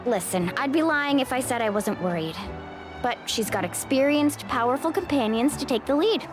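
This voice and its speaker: A confident young warrior guide in a game. She sounds high and animated, friendly and reassuring, with music behind her. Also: moderate pace. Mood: reassuring, upbeat. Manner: casual, reassuring. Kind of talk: game voice acting.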